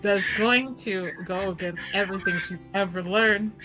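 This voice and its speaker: A woman's voice: medium pitch, moderate pace, over music, poor audio. A woman playing a tabletop roleplaying game, talking casually as she improvises her character's actions.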